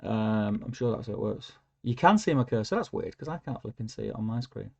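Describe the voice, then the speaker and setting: A man's voice: on the low side, expressive, fairly quick, creaky, a little mellow. A man hosting a casual livestream, reading out viewer comments with lots of fillers and humming to himself. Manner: rambling, filler-heavy, hums while scrolling. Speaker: livestream host. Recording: lo-fi stream audio.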